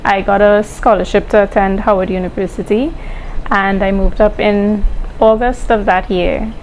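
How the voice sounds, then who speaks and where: A woman's voice: medium pitch, fairly even intonation, normal speed, a little hiss or hum, poor audio. A woman from the Caribbean recounting her path to university in an interview, composed and articulate, sometimes choosing her words carefully. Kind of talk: personal interview recollection.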